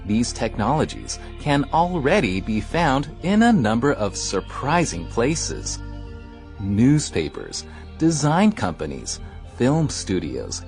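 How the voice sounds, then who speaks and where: A man reading a passage aloud in a slow, expressive way. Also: mid-range voice, faint music behind.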